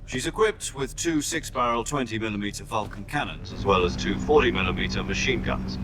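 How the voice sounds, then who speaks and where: A commanding army major, music behind. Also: male voice, fairly low pitch, lively intonation. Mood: calm, assured. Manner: authoritative, precise, measured. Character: composed middle-aged male army major briefing his agent. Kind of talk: military mission briefing, video game voice acting.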